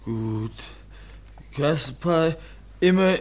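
An actor playing a timid, childlike young foundling in a radio play, speaking in broken, halting single words. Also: male voice, fairly high pitch, expressive, exaggerated voice. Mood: wondering, halting. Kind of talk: German radio play acting.

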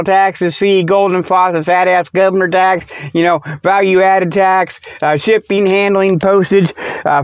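A man hosting a comedy radio show in a rambling, ad-libbed monologue with mock sales pitches, folksy and jokey, chuckling at himself. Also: clear, resonant tone, no room sound, dull audio.

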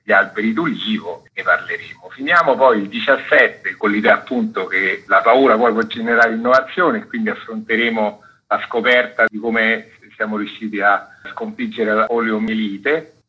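A man, a festival curator, answering interview questions on a radio program in a relaxed, meandering way. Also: fairly low pitch, husky, rough recording. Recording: radio broadcast.

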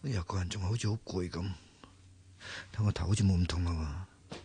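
A man's voice: deep voice, steady tone, fairly quick, dark tone, husky. An actor playing a bewildered injured man in a Cantonese radio drama, groaning and protesting with a Hong Kong accent. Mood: weary, insistent. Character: bewildered injured man insisting on his own identity.

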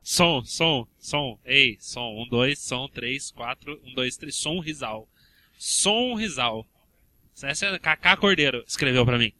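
Man, extremely sharp bright voice, mic test, slight ambient noise. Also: medium pitch, lively intonation, slow pace. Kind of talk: repetitive sound check.

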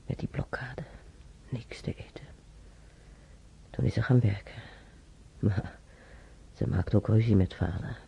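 A woman's voice: extremely low pitch, unhurried, gravelly, pauses often, whispered, full character voice. An actress playing a frail old woman in hospital in a radio drama, hesitant and murmuring, repeating herself. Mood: reminiscing. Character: frail old woman hospital patient, wandering memories, grateful, fretful. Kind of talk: naturalistic radio drama acting. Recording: noisy, muffled old radio recording.

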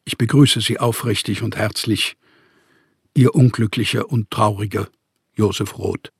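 A man's voice: fairly low pitch, steady tone, measured pace, breathy edge, no room sound, studio quality, heavily acted. An actor playing a despairing, formal writer in his thirties, reading a letter aloud in a radio play. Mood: despairing, sad. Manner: formal, weighed down by misery.

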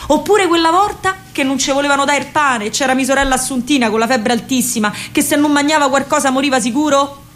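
A woman's voice: high voice, quick pace, few pauses, fairly bright tone, a little hiss or hum. An actress playing a feisty, tomboyish young partisan courier, telling her story straight to listeners in a chatty, colloquial Roman accent. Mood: animated. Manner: colloquial, brash, chatty, directly addressing listeners. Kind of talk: theatrical monologue in dialect, storytelling to listeners.

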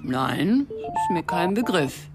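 Very deep, creaky bar madam. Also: female voice, sing-song, slow, loud music behind the voice, full character voice. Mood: wary, coy. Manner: drawling, coy, sales-minded coaxing. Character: plump, suspicious, coaxing madam of a seedy bar.

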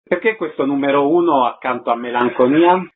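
A man's voice: medium pitch, fairly quick, breathy edge, narrow, tinny audio. A man presenting and asking short questions in a radio theatre interview.

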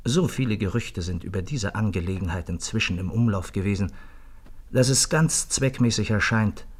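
Low-pitched, measured gentleman narrator. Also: husky. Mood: measured, earnest. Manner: deliberate, formal. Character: Doctor Watson as measured, earnest male storyteller. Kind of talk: scripted first-person narration in a radio play.

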